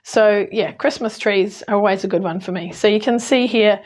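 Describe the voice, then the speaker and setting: A hoarse woman with a New Zealand accent talks through a stamping and card-making demo. Her speech is chatty and relaxed, sprinkled with ums and quick corrections as she works. Her croaky voice holds a steady middle pitch. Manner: chatty, with frequent ums and small slips. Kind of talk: casual crafting tutorial.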